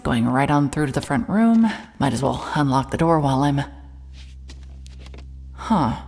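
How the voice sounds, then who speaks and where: Very low-voiced, wry female detective. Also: a little mellow, breathy edge, full character voice. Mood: nervous muttering. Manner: muttering to self, wry, terse. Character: hard-bitten female private investigator, wary and sardonic. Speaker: lead character and narrator. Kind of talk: cinematic horror audio drama, first-person noir narration.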